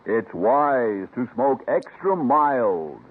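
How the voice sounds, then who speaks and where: A man's voice: mid-range voice, very animated, drawn-out words, slightly rough. An American man announcing a 1950s radio commercial with an emphatic, polished sales delivery. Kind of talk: 1950s radio advertising pitch. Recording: narrow-band old radio broadcast.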